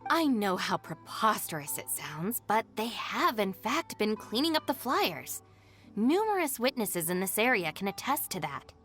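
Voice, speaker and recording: Courteous composed young woman in a video game, expressive pitch, soft music in the back. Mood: apologetic. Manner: courteous, measured, skeptical. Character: composed, polite, honest young woman gang deputy.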